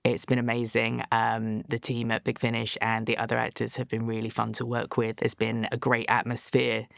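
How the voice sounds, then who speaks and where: A male actor speaking reflectively in an interview, measured and a little hesitant. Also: medium pitch, completely flat delivery, creaky, fairly bright tone, no echo, clean studio sound.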